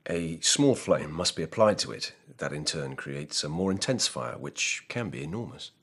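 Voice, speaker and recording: An actor playing a grave, dutiful older court policeman in a radio drama, husky and measured, speaking formally. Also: rumbling low, lively intonation, a bit bright, exaggerated voice. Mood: formal, cautious. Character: grave, dutiful ageing Japanese court policeman, physically frail.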